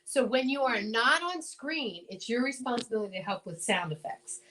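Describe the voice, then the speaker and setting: A woman's voice: on the high side, lively intonation, normal speed, sharp tone, slight background noise, poor audio. A woman hosting and directing an amateur radio show, casually coaching and stretching key words theatrically.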